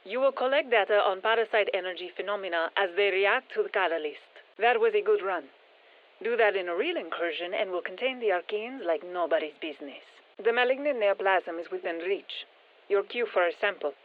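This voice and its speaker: An actress playing a brisk, focused female military scientist giving clipped mission instructions over a radio in a video game. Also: medium pitch, animated. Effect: radio filter. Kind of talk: scripted game mission briefing. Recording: tinny band-limited radio transmission.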